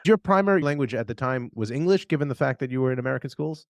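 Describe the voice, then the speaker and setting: A man's voice: mid-range voice, lively intonation, racing, no room sound, clean studio sound. A man co-hosting a podcast, chatting in an easygoing, joking way. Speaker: podcast co-host. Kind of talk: casual podcast conversation.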